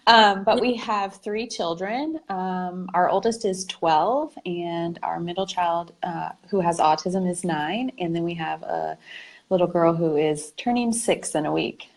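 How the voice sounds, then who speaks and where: A woman's voice: lowish voice, a little slow. A woman in her thirties from the American Midwest, with a Midwestern accent, talking about her family in a friendly, light way over a video call. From Midwest United States. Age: 30s.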